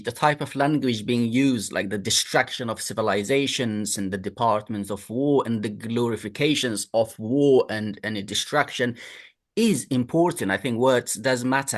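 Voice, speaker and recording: Halting man from Afghanistan. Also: mid-range voice. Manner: halting, many repeated words and fillers. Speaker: discussion participant. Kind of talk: casual group discussion.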